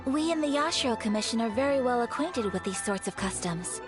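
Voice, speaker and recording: A woman playing a polite, earnest young noblewoman in a video game, speaking in a formal, gentle and courteous manner. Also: fairly high pitch, lively intonation, a little fast, lightly acted. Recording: game music behind the voice.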